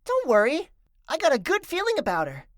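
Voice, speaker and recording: A woman voicing a small, good-natured furry boy creature in an animated series, earnest, naive and excitable. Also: high-pitched, brisk, dry recording, clean studio sound. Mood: reassuring. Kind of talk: animated web series voice acting.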